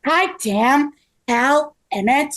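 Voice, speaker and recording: A woman reading the part of an earnest, careful construction manager in a halting, deliberate way at a table read. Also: high voice, animated, a bit bright, exaggerated voice. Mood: earnest, measured. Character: earnest construction project manager named Bob, calm and careful. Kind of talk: table read of a scripted story.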